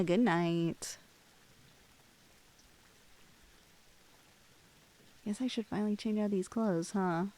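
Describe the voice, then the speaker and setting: A young woman playing a shy, lovestruck cosplayer in an intimate ASMR roleplay, with girlish slangy speech and a halting, bashful manner, close on the mic. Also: medium pitch, darkish tone, rough recording. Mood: teasing, casual. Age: young adult. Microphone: close, dry studio-style microphone.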